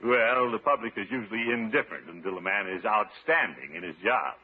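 An actor playing a pompous, excitable middle-aged water commissioner in a 1950s radio comedy, with a blustering manner. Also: male voice, lowish voice, brisk, lightly acted. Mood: smug. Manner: blustering, hammy, swooping delivery. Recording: muffled 1950s radio recording, background hiss.

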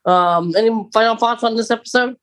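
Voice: medium pitch, a little fast, fairly bright tone. A podcast co-host with a mid-range voice who leads the casual conversation and moves it along with questions. The speech is hesitant, full of "um" and self-restarts. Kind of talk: casual chat.

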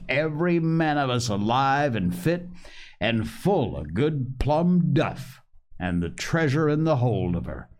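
A man voicing a cunning, commanding older male pirate captain with rough sailor talk and scornful manner. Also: lowish voice. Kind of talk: audiobook character reading.

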